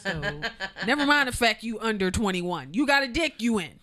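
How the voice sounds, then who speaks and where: A woman's voice: on the high side, very animated, moderate pace, bright, forceful tone. A woman hosting a casual podcast, asking questions and teasing her guests in a wry, incredulous way. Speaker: podcast host. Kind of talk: casual joking interview chat.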